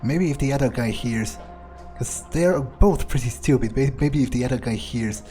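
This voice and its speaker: A man's voice: mid-range voice, normal speed, warm tone, soft background music. A man playing a video game in character, muttering his thoughts aloud in a sardonic, foul-mouthed way.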